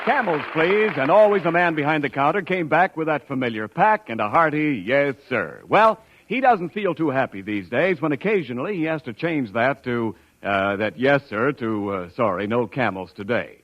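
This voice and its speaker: A man's voice: medium pitch, lively intonation, normal speed, hardly any pauses, fairly bright tone, lo-fi sound. A man announcing in polished, emphatic 1940s radio style, reading scripted copy on an old broadcast recording.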